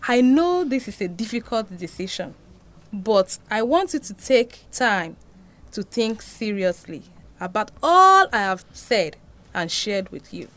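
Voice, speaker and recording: A woman's voice: mid-range voice, sing-song, unhurried, a bit bright. A woman playing a devout, concerned mother in an audio drama, earnest and admonishing, with a Nigerian accent. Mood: tender. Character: devout, concerned mother counselling her daughter.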